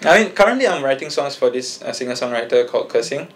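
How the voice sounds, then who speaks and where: A young man talks casually as an interview guest. His voice sits at an ordinary middle pitch, and he speaks in an easygoing, hesitant way, full of fillers and restarts. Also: animated, quick pace, crowd noise. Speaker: singer-songwriter guest.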